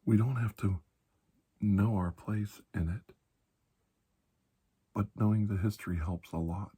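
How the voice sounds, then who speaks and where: A man playing a calm, reassuring doctor in an ASMR roleplay, speaking softly and breathily, often close to a whisper, with a measured, halting delivery. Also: on the low side. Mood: solemn, hushed. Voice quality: soft, breathy, husky, often near whisper. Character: calm, reassuring male doctor treating a patient. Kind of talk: ASMR roleplay, hushed storytelling.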